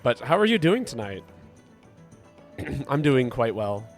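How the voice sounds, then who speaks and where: A man streaming a game, chatting with his viewers and answering their messages. He is sick and congested, and his voice is hoarse, gravelly and deep. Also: very animated, a little slow, soft background music. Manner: rambling, reads chat aloud and answers it. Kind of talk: casual live-stream chat while gaming.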